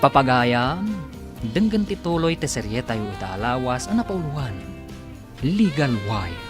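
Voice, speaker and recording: A man's voice: medium pitch, sing-song, measured pace, over music. A man narrating and announcing a radio serial in a dramatic scripted style.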